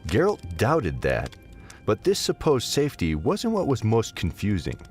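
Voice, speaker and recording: A man's voice: lowish voice, huge pitch swings, measured pace, soft background music. A man narrating a scripted fantasy audio drama in a dramatic storytelling style.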